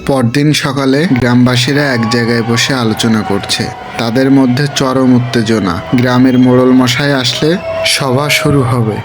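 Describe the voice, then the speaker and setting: A man tells a cartoon folk tale at a measured storybook pace, with mid-range pitch. Loud music plays behind him throughout. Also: steady tone. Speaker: narrator. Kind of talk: scripted storytelling narration.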